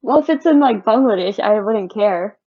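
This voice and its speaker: A woman's voice: medium pitch, expressive, normal speed. A young woman co-hosting a podcast in casual, conversational talk. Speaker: podcast co-host. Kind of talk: casual chat. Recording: dull lo-fi audio.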